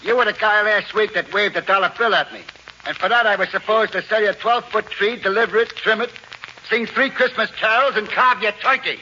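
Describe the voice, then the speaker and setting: Gruff, sharp-voiced wisecracking tree seller. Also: male voice, high voice, brisk, lo-fi sound. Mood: sardonic, unimpressed. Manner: sarcastic, tough city street talk. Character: gruff, wisecracking male Christmas tree vendor, blunt and unimpressed. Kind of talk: 1940s radio comedy acting. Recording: noisy band-limited old radio recording.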